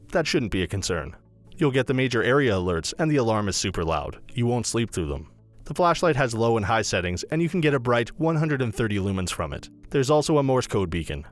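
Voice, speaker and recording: A man reading a scripted product review as a voice-over narrator, in a brisk, even read-aloud delivery. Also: medium pitch.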